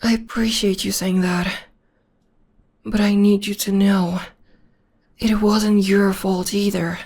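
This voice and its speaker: Woman playing a gentle whispering witch girlfriend. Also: mid-range voice, flat delivery, slow pace, husky. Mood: soothing. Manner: soft, often whispered, soothing. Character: gentle young witch girlfriend comforting her demon partner. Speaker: voice actress. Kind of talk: ASMR audio roleplay.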